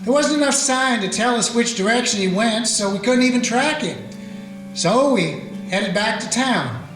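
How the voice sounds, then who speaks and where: Frontier sheriff, played by a man, calm folksy narrator, high-ish voice, roomy echo. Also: normal speed, faint music behind. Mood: steady. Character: small-town Old West sheriff, steady and dry. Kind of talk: radio play acting. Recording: echoey room.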